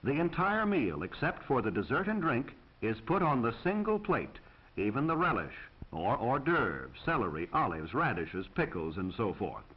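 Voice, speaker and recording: A man's voice: mid-range voice, wildly swooping pitch, moderate pace, breathy edge, highs cut off. An American man reading scripted 1940s instructional film narration in a formal, precise, instructive manner on an old film soundtrack.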